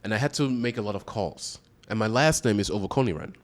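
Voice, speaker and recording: Man from Austria, podcast guest, storyteller, very lively pitch. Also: fast talker. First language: German. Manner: animated storyteller, acts out voices in anecdotes. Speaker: guest, strategic advisor. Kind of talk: casual podcast conversation.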